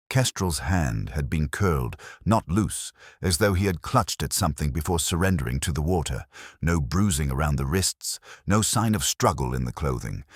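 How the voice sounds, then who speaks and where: A man playing a weary, melancholy police inspector, narrating a detective drama in solemn, measured, literary phrasing. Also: very deep voice, husky, no room sound, clean studio sound, heavily acted. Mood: somber, resigned, then grave, reflective. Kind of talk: first-person scripted detective drama narration.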